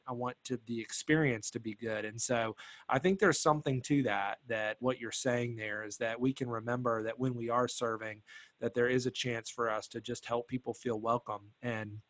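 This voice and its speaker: A man's voice: medium pitch, a bit bright, no room sound. A man hosting a church volunteer podcast, upbeat and encouraging as he talks with a guest.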